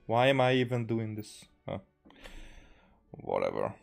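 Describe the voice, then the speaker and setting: A man from Hungary, a native Hungarian speaker, giving casual, halting gameplay commentary with muttered repeats. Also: medium pitch, huge pitch swings, gravelly. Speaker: game streamer. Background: game music.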